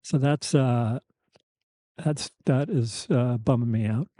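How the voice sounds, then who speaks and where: Mature male podcast host, mellow soft voice, slightly weak and lisping, halting candid delivery, dry close sound. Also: medium pitch, no room sound. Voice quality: slight lisp, thick-tongued articulation. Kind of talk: reflective personal conversation.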